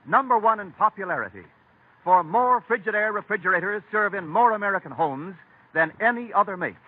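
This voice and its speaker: A tinny, narrowband vintage radio recording carries a man announcing in 1940s style. His high voice swings up and down with lively emphasis as he pitches for the sponsor. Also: a little fast.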